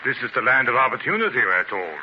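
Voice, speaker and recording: Male actor as wry worldly newsman, dry delivery, 1930s radio drama, muffled transcription, loud noise. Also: mid-range voice, big pitch swings, fast talker, lightly acted. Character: wry, worldly-wise newspaperman, a man.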